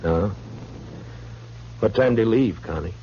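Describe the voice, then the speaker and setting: A man's voice: fairly low pitch, animated, measured pace, warm tone. An American man acting a laconic, probing frontier marshal in a 1950s radio western, with terse, dry delivery. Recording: dull, noisy old radio recording.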